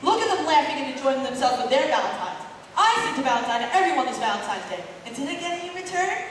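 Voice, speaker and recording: A woman's voice: high-pitched, very animated, normal speed, slight background noise. A woman playing a nervous, hapless young boy in a stage musical, singing and fretting aloud. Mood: frustrated. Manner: nervous, self-pitying, rehearses his words aloud. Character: anxious, hapless young boy who is easily flustered. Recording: echoey hall, mic far from the stage. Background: loud musical accompaniment.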